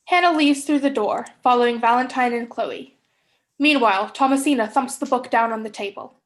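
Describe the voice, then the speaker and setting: High-voiced young woman reading stage directions. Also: normal speed. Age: young adult. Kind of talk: scripted narration of a staged reading.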